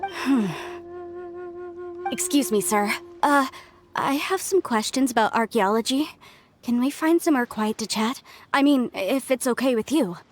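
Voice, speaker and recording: A woman's voice: fairly high pitch, lively intonation, a little slow. An actress playing a polite, cautious young woman in a game, speaking hesitantly and deferentially. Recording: game cutscene with music underneath.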